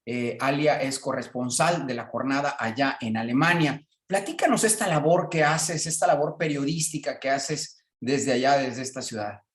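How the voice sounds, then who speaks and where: A man's voice: medium pitch, normal speed, hardly any pauses. Roomy-sounding male radio host. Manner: rambling, many fillers. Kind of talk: radio interview.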